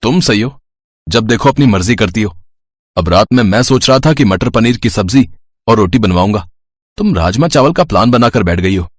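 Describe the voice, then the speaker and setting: A dutiful, bossy husband from a cartoon family tale, voiced by a man. He speaks firmly in a lowish pitch, with a dry, close studio sound. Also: animated, normal speed, no echo. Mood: annoyed. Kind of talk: scripted Hindi moral-story cartoon voice.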